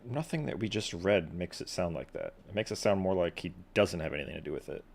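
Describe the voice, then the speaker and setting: Brief: male game streamer, very low creaky voice, sarcastic and offhand, game music behind. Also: fast. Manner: sarcastic, flippant, deadpan quips. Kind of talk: casual game commentary.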